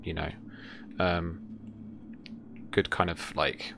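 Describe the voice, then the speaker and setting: A young man narrating a tabletop role-playing game as game master, pausing to search for his words. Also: low-pitched, flat delivery, vocal fry, noisy background. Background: background music.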